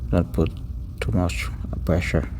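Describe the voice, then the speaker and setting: A man giving an unscripted step-by-step repair tutorial, pausing mid-sentence and repeating words as he goes. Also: sits low, level tone, a little mellow, slight background noise.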